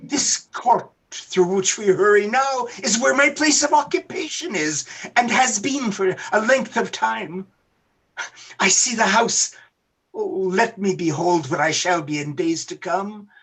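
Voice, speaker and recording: Man acting a bright, husky, pleading old miser. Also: sits high, heavily acted. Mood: anxious pleading. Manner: dramatic, halting, imploring. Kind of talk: theatrical radio play acting.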